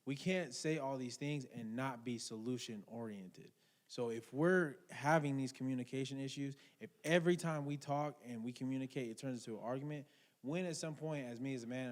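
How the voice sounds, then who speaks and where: A man's voice: medium pitch, a little fast. A man with a mid-range voice hosts a casual podcast debate. He is insistent and cuts in with pointed pushback.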